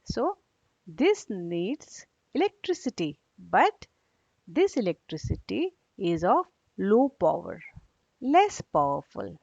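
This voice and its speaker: A woman's voice: medium pitch, huge pitch swings, takes it slowly, gravelly, darkish tone, breathy edge. A woman teacher giving a read-along lesson for young children, explaining in a didactic way and checking understanding with short questions. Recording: dull, echoey room recording.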